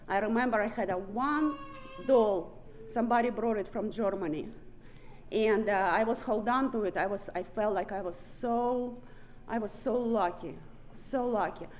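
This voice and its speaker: A woman's voice: medium pitch, a little hiss or hum. A woman with a Russian accent, born in Ukraine and raised in Siberia, giving a candid recovery testimony to a meeting room, swearing freely and laughing often. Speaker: recovery meeting main speaker. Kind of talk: personal recovery testimony speech.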